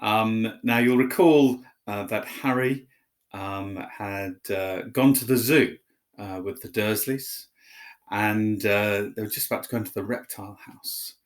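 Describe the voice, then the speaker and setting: A man's voice: on the low side, steady tone, measured pace. A man telling a story, reading aloud expressively as a narrator.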